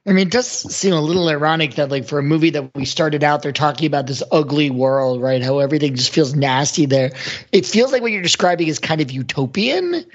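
A man's voice: on the high side, lively intonation, fairly quick, muffled recording. A man speaking as a podcast panelist in a casual discussion.

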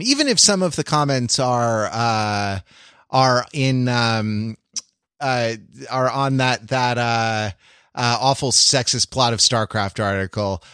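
A man hosts a casual podcast. His voice sits at a middling pitch in a dry recording. He speaks haltingly, repeating and reworking phrases as he goes. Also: fairly bright tone, clear, resonant tone, no room sound. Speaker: podcast host. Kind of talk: casual conversational podcast hosting.

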